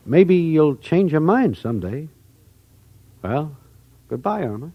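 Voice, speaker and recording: An actor playing a shiftless, wisecracking boyfriend in an old radio sitcom, husky and slangy. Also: male voice, a little high, big pitch swings, takes it slowly, dry recording, exaggerated voice. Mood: wounded, resigned. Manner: slangy, mock-indignant. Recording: muffled old radio recording.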